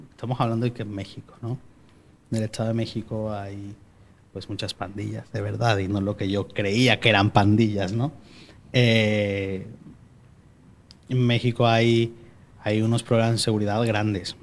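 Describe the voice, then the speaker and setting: A man's voice: fairly low pitch, level tone, moderate pace. A young man from Spain with a Málaga accent mixed with Mexican traces, sharing his faith story as a podcast guest in a chatty way, full of hesitations and restarts. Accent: Málaga Spanish with Mexican traces. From born in Mexico, raised in Spain. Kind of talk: personal faith testimony in casual conversation.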